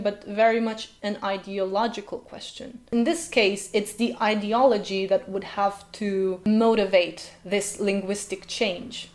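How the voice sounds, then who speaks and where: A woman's voice: mid-range voice, darkish tone. A woman linguist talks to her viewers in an ordinary mid-range voice. Her commentary leans on dry sarcasm and rhetorical questions. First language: Slovenian. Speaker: linguist and video host. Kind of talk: commentary vlog.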